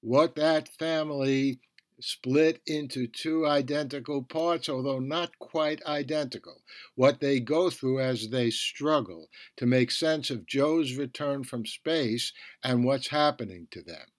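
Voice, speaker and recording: Slow-paced man reviewing on a podcast. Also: medium pitch, no room sound. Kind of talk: scripted review narration.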